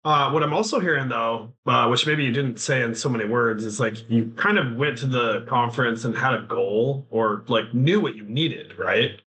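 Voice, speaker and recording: A man's voice: mid-range voice, normal speed, few pauses, pushed and bright, low-quality recording. A man hosting a podcast interview, summing up the guest's points in a loose, rambling way with many fillers. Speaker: podcast host. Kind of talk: interview questions, casual.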